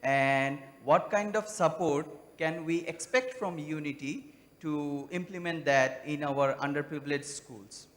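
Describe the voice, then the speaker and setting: A man's voice: a little high, unhurried, a bit bright. A man from Bangladesh, a primary school teacher, asking a formal, polite question. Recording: reverberant hall.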